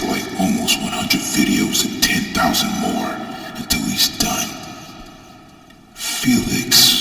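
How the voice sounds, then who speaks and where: A man playing a menacing, smugly taunting villain for game voice lines, with a deep, gravelly voice and theatrical delivery. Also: exaggerated voice. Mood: dismissive menace. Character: menacing, taunting male villain, smugly mocking his victims. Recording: echoey hall, distant mic, noisy.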